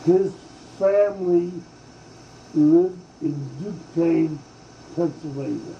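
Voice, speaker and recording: Slow, halting older man from Hungary. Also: fairly high pitch, gravelly, mellow, a little hiss or hum. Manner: halting, many fillers and restarts. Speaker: oral history interviewee. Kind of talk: slow reminiscing oral history. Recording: dull lo-fi tape in a roomy space.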